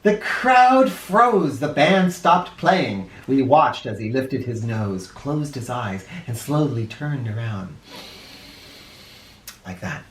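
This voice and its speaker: A man's voice: medium pitch, very animated, slow pace, fairly bright tone, slight background noise. A man reading a children's storybook aloud in a theatrical, playful way. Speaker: children's storybook reader, host. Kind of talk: animated read-aloud narration with asides. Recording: roomy echo.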